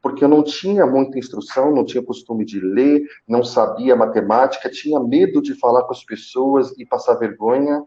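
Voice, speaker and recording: Male, Brazilian accent, interview guest. Mid-pitched, somewhat warm tone, halting conversational delivery, lo-fi sound. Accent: Brazilian Portuguese. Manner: halting, with repeated words and drawn-out pauses. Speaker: guest, call center senior manager. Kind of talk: conversational personal account in a live interview.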